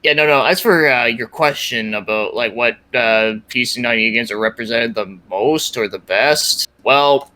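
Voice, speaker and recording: Bright-voiced, enthusiastic young man chatting. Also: medium pitch, moderate pace, hardly any pauses, poor audio. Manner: enthusiastic, slangy, rambling. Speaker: podcast guest. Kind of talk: casual gaming podcast chat.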